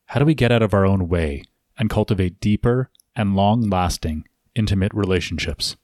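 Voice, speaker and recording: Deep-voiced male podcast host. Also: normal speed, a little mellow, no echo, clean studio sound. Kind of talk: interview podcast.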